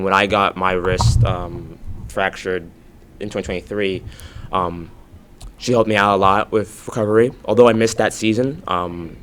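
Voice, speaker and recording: Deep-voiced young man hosting, hesitant. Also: a bit bright, a little hiss or hum. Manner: hesitant, lots of fillers. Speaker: student interview host. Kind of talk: casual interview.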